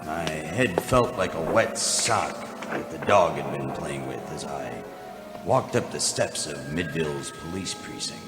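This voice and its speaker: Low, wry hard-boiled detective. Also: male voice, unhurried, exaggerated voice. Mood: wry deadpan. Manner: deadpan, wisecracking noir narration. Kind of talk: 1940s radio detective parody acting. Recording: roomy sound, music underneath.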